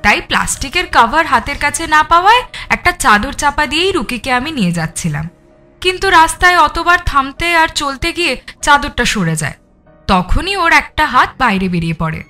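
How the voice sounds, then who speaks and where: A woman's voice: high-pitched, big pitch swings, moderate pace, pushed and bright, soft background music, exaggerated voice. A woman playing a composed, cunning married artist under suspicion, explaining herself with assurance in an audio drama. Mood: self-assured explaining. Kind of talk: audio story character acting.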